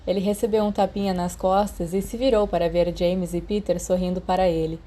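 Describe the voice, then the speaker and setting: A woman's voice: lowish voice, little pitch movement, brisk, dark tone, slight background noise. A woman with a Brazilian Portuguese accent reading a story aloud as a scripted audiobook narration. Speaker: podfic narrator.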